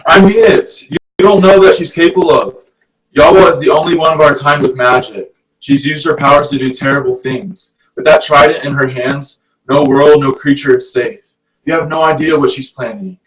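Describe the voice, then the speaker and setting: A man's voice: on the high side, steady tone, normal speed, a bit bright, recorded from a distance, close to an everyday voice, rough recording. An actor at a table read playing a stern, grumpy fish-man guardian with a dry, exasperated manner. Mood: urgent.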